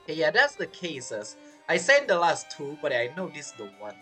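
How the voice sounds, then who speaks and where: A game streamer with a husky voice giving casual let's-play commentary. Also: medium pitch, huge pitch swings, moderate pace, sharp tone, soft background music.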